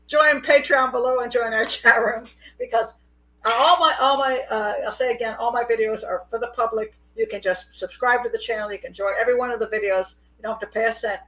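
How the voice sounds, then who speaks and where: A middle-aged woman hosting a live call-in show, chatty and quick to laugh. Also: fairly high pitch, rough recording. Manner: chatty, laughs often, animated. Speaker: host. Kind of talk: casual live call-in chat.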